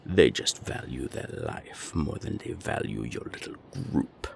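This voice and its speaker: A man playing a menacing naga gang boss in an audio roleplay, gravelly and deliberate, with cold, taunting threats. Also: animated, a little hiss or hum, exaggerated voice. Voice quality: very deep, gravelly. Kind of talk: audio roleplay drama acting.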